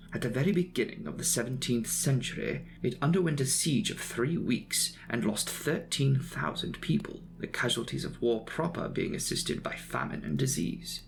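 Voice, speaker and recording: Expressive male audiobook narrator with creaky edges. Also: mid-range voice. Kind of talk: dramatic reading of a classic novel, scripted narration.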